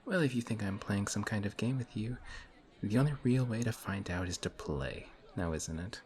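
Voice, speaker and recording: A man voicing a suave male villain who teases a hero in an audio roleplay, slow and taunting. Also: lowish voice, a little mellow, lightly acted. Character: smug suave male villain, teasing and calm. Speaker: voice actor.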